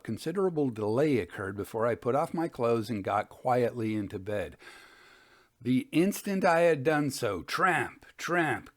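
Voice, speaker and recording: A man reading an old-fashioned ghost story as a dramatic narrator, in a measured, formal storytelling manner. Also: medium pitch. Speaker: narrator of Victorian ghost stories.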